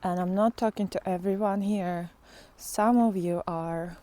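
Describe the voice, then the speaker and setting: A woman giving a casual, unscripted spiritual monologue in a reflective, halting way, often trailing into pauses. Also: lowish voice, fairly even intonation, mellow. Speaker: spiritual teacher vlogger.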